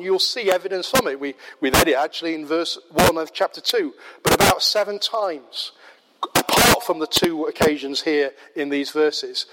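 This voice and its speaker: A man with a Yorkshire accent preaching a measured, explanatory sermon, often pausing with "uh". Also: on the high side. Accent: Yorkshire English. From Yorkshire, England. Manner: measured, explanatory, with frequent "uh" fillers. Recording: loud background noise.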